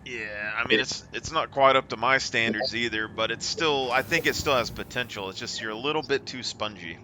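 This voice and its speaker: A man's voice: medium pitch, fairly quick, bright, forceful tone. A man streaming a game, chatting casually with a friend in an easygoing, rambling way. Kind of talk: casual gaming chat. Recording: noisy game audio behind. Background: game sounds and ship ambience.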